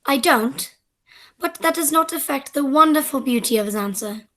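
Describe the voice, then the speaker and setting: A woman's voice: high-pitched, lively intonation, normal speed, pushed and bright. A woman acting a prim, romantic young society girl with formal speech in a radio play comedy. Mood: earnest, smitten.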